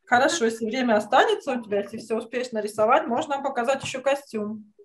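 A woman's voice: fairly high pitch, fast talker, a little hiss or hum. A woman teaching children drawing in an online lesson, speaking in an encouraging way full of praise. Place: indoors, on a video call. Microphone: video call microphone.

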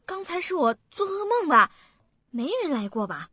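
Actress doing scared stammering wife in a radio play, high voice swinging around a lot. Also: female voice, big pitch swings, normal speed, bright, forceful tone, slight background noise, full character voice. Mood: shaken, pleading. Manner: stammering, anxious. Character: frightened, evasive married woman cornered by accusers. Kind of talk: radio drama acting. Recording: dull lo-fi audio, faint music.

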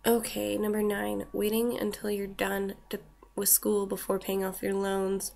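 Gravelly young woman vlogging with a cold. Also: mid-range voice, monotone, unhurried, a little mellow. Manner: chatty, wry asides, trailing off with "whatever". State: snuffly from a cold. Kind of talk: casual webcam vlog reading a list. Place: small room indoors with shelves. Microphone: built-in webcam mic.

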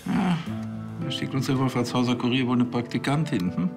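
A man's voice: medium pitch, brisk, close to an everyday voice. Guy acting pompous stammery police chief, tv comedy, music in background. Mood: condescending. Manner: hesitant, pompous.

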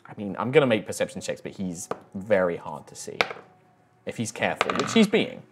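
Deep-voiced man running a tabletop game. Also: very animated, brisk, very noisy. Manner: low, hushed narration. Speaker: dungeon master. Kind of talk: narrating a tabletop game and reading donations.

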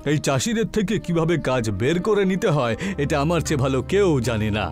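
A man's voice: fairly high pitch, big pitch swings, fast. A man voicing a greedy, scheming middle-aged village landlord in a cartoon, bossy and wheedling. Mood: bossy, scornful. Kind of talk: cartoon dubbing. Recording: music behind the voice.

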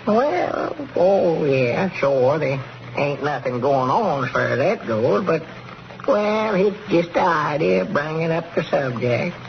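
Whiny rural Southern old shirker, highish creaky voice, folksy, muffled noisy vintage radio comedy. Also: male voice, animated, takes it slowly, full character voice. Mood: fretful. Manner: folksy, fretful. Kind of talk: 1930s radio comedy acting.

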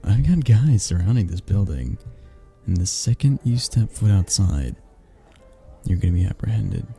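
A man playing a possessive mafia boss in a romantic ASMR audio roleplay, speaking haltingly with sighs and pointed pauses in a low, breathy, husky voice. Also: big pitch swings, dark tone, soft background music, heavily acted. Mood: cold menace. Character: possessive, menacing young mafia boss, softening into awkward tenderness.

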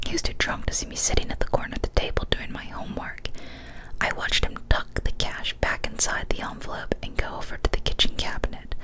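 A young woman reading a scary story as whispered ASMR, soft, breathy and deliberate, close to a studio microphone. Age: young adult. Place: small decorated indoor room. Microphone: large studio microphone, close up.

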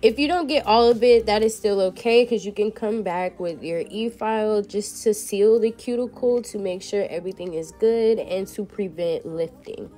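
A woman's voice: mid-range voice, normal speed, few pauses, mellow, soft background music. A young woman with a congested, stuffy nose, chatting casually to viewers through a nail tutorial. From Texas. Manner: chatty, addresses viewers as y'all. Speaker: nail technician.

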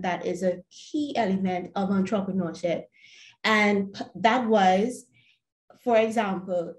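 A young woman with a Trinidadian accent, answering earnestly as a webinar panelist, measured and repeating words as she searches for them. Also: mid-range voice, darkish tone. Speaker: panelist, startup founder. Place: home room, plain wall. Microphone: laptop webcam video call. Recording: roomy echoey home room.

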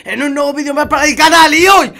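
Young man, Spanish accent, stuffy cold voice, high-pitched, chatty slangy gamer talk, headset mic. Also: fast talker, bright, forceful tone. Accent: Spanish from Spain. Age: young adult. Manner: chatty, slangy, addresses viewers directly. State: congested with a cold. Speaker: gaming YouTuber. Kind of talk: casual gameplay commentary. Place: home room at a gaming setup.

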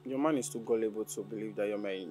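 Actor as a mellow, sly Nigerian schemer. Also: male voice, fairly low pitch, quick pace, soft background music, lightly acted. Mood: smug, scheming. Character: sly, confident male lover scheming to extort money. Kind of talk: low-key film drama acting.

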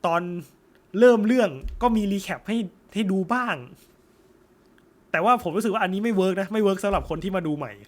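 A young man with a fairly high voice talks through his thoughts in a relaxed chat. He pauses often, hunts for the right words, and fills the gaps with small fillers. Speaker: co-host. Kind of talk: casual chat about a film.

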